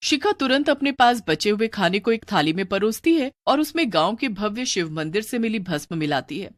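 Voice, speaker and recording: A woman's voice: medium pitch, sing-song, moderate pace, no room sound. A woman narrator reading a scripted story in a dramatic storytelling style.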